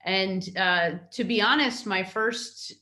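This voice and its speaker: Slow, halting woman in an echoey room. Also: medium pitch, sharp tone. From New York, United States. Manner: halting, many fillers and restarts. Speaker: consul. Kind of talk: interview answers.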